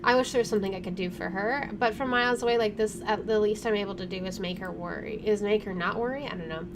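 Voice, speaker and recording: A chatty, expressive woman reading a visual novel aloud as she plays, with casual jokey commentary. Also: medium pitch, quick pace, noisy background. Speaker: gamer doing a first playthrough.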